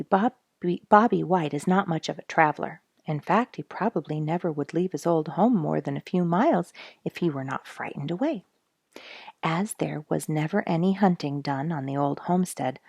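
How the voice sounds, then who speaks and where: A woman storyteller reading a children's book expressively, with deliberate pauses and casual asides. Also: deep voice, gravelly, darkish tone, husky, no room sound, studio quality.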